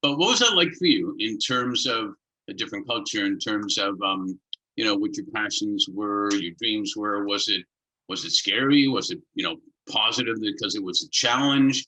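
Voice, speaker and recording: A bright, forward male voice with noticeable room echo hosts a casual interview. He is on the low side in pitch and chats informally, taking his time to build up to each question. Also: normal speed. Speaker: interviewer, host. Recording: echoey room sound.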